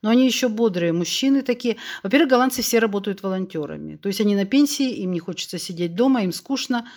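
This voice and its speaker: A woman's voice: mid-range voice, quick pace. A woman around sixty from Russia, a podcast guest telling her story frankly and with animation, often acting out remembered dialogue. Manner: frank, animated, tells anecdotes with quoted dialogue. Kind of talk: candid conversational storytelling.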